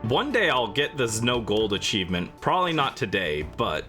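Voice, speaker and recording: Lively, bright-voiced man livestreaming games. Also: mid-range voice, normal speed, soft background music. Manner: playful, chatty, responding to viewers. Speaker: livestream host. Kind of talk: casual gaming livestream chat with viewers.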